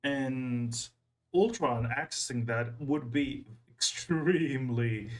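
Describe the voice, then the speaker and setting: Man giving rambling casual commentary. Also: medium pitch. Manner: rambling, halting, repeats himself. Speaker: reaction video host.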